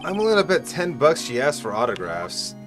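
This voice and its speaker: A man's voice: medium pitch, big pitch swings, brisk, music in the background, lightly acted. A man voicing a young defense lawyer who makes dry, sarcastic asides. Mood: wry.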